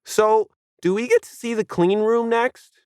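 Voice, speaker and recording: Annoying millennial know-it-all for a story, male, pitched well up, pestering and smug a narrator acts him out. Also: a little slow, a little mellow, clean tone, no room sound, clean studio sound. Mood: pestering, demanding. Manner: badgering, demanding. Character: annoying, bratty young foreign-sounding male tourist, pedantic and smug.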